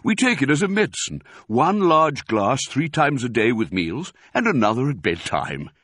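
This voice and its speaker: A man's voice: mid-range voice, big pitch swings, normal speed, a bit bright, slightly rough, dry recording, clean studio sound, voice pushed up. A man voicing a hearty, self-important, enthusiastic badger in a children's storybook, exclaiming with great rapture. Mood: hearty, pleased. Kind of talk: animated children's storybook character reading.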